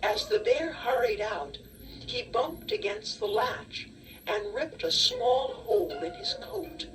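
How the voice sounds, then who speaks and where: Slow, expressive woman narrating a storybook. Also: on the high side, a little hiss or hum. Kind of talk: scripted children's story narration. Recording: distant cassette audio with music.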